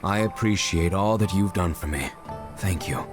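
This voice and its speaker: Guy doing a noble beast warrior from a game, low voice, formal n earnest, music behind. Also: moderate pace, lightly acted. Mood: solemn, grateful. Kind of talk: video game voice acting.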